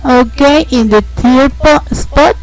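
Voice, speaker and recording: A young woman with a Mexican accent, a host narrating a casual game tutorial, halting and repeating words as she explains. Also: sits high, gravelly, low-quality recording. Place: home, indoors.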